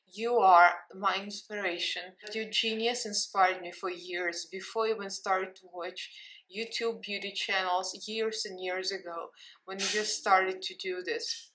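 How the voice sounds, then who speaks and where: A woman's voice: medium pitch, fairly even intonation, a little slow, fairly bright tone, poor audio. A woman with a Russian accent, a makeup vlogger talking through a tutorial in a casual, candid, self-mocking way.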